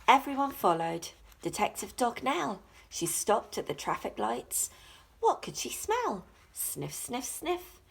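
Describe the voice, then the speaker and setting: Woman reading a picture book, very animated. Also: fairly high pitch, vocal fry, husky. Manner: dramatic, deliberate, rhythmic rhyme reading. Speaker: teacher reading a storybook. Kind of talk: expressive children's picture book narration.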